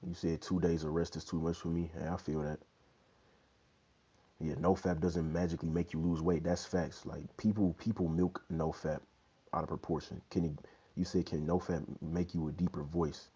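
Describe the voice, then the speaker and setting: Very deep-voiced laid-back young man. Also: flat delivery, normal speed, dark tone, breathy edge. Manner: laid-back, loose slang, many "you know what I'm saying". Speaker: fitness livestream host. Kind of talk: casual livestream Q&A chat. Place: small bedroom indoors. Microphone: wired earbud mic, phone held low. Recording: dull lo-fi phone audio.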